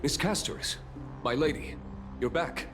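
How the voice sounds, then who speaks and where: A man's voice: a little high, a little slow, over music, lightly acted. A man voicing a deferential, nervous city servant in a video game, speaking politely and hesitantly. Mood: apologetic.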